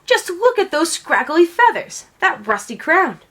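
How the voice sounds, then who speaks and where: A woman's voice: very high-pitched, sing-song, unhurried, fairly bright tone, pitched above the performer's own voice, exaggerated voice. A woman voicing a haughty, suspicious old penguin queen in a storybook, disapproving and disdainful.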